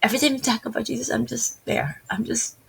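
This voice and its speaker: A middle-aged woman with a Filipino accent, talking casually and haltingly to her channel with many restarts. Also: on the high side, fairly even intonation, creaky, husky. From Philippines. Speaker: channel host. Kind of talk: casual unscripted video talk.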